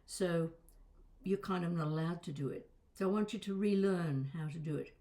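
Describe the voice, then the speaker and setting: Female voice coach, slightly low register, conversational lesson delivery with emphatic, drawn-out key words. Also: dark tone. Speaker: voice and accent coach. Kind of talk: instructional demonstration of vocal exercises.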